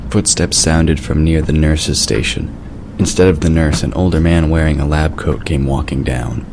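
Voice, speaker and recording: A man's voice: very deep voice, fairly even intonation, normal speed. A man reading scripted story narration for an audio drama. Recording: clearly noisy background.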